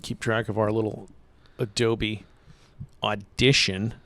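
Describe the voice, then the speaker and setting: A man co-hosting a casual podcast about baseball, rambling and relaxed, saying "dude" a lot. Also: fairly low pitch, slow, a little hiss or hum. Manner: laid-back, rambling, says "dude" a lot. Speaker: podcast co-host.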